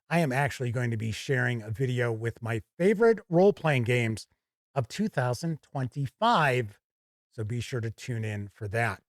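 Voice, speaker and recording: A man hosting a conversational video review, speaking in a measured, frank way with emphatic phrasing. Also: fairly high pitch, dry recording, studio quality. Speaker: host of a tabletop game review channel.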